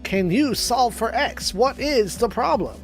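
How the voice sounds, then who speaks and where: A let's play co-host chatting casually in a halting, quizzing way. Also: mid-range voice, expressive, soft background music.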